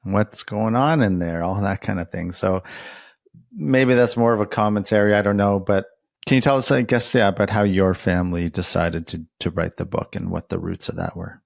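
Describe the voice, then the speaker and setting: A man's voice: low voice, normal speed, gravelly, no room sound, studio quality. A man hosting a casual conversational interview, asking reflective, informal questions as he thinks them through. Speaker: interviewer, podcast host.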